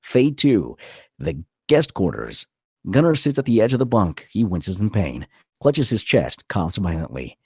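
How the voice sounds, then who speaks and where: A man's voice: lowish voice, sing-song, unhurried, warm tone, slightly rough, no room sound, studio quality. A man reading scene directions from a screenplay as scripted narration. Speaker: stage directions reader.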